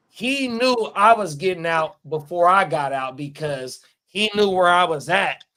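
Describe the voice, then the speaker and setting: A man in his forties talks loosely on a live watch-party stream. He swears often and repeats stock phrases for emphasis. His voice sits a touch high for a man. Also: sharp tone. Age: 40s. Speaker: podcast co-host. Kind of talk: casual profane chat.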